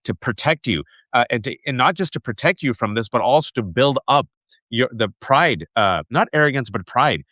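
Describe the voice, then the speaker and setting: A man in his forties from the United States, hosting an interview and telling animated personal stories with lots of asides. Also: medium pitch, normal speed, no echo. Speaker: host. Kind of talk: conversational interview.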